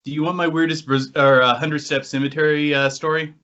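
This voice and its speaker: Casting: American male podcast host, fairly high voice, conversational storyteller who poses questions to listeners, dull online-call sound. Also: level tone, a little fast, pushed and bright. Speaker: podcast host and paranormal investigator.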